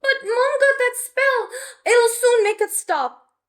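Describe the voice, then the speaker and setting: A woman's voice: extremely high pitch, slow pace, fairly bright tone, pitched above the performer's own voice, heavily acted. A woman voicing a scatterbrained little girl witch in a storybook, exclamatory and hesitant, with a fretful edge. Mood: flustered.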